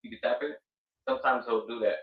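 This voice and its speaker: A man's voice: mid-range voice, quick pace. A man appearing as a DJ guest on a live stream, chatting in a laid-back way, swearing and hyping up the show. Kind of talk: casual stream chat. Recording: distant, roomy, dull-sounding mic.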